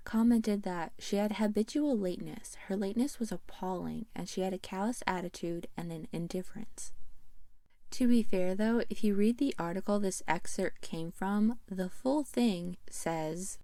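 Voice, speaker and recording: A woman's voice: on the low side, mellow, no echo. A woman narrating a video essay in a casual, conversational and self-effacing way. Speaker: video creator and host.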